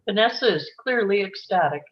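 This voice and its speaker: A woman's voice: mid-range voice, expressive, brisk, highs cut off, slight background noise. A woman reading scripted scene descriptions and stage directions as the narrator of a table read.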